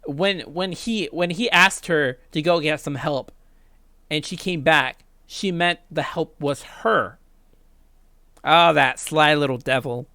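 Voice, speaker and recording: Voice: mid-range voice, slow, bright, forceful tone, dry recording, studio quality. A let's player reading a visual novel aloud in a dramatic way, acting out the dialogue and adding asides. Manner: dramatic, acts out dialogue lines.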